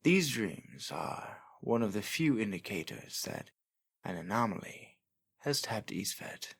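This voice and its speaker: A man's voice: on the low side, very animated, a little slow, vocal fry, fairly bright tone, husky. A man delivering a scripted, dramatic voice-over for an audio drama.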